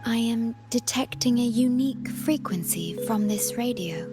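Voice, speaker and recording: An actress playing a composed, protective guardian in a video game, speaking in a formal, measured way. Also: female voice, fairly high pitch, over music. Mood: calm, assuring.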